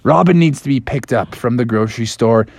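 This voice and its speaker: A man voicing a gruff, impatient grown male superhero in a bedtime story, low and clipped. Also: a little fast. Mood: gruff, hurried.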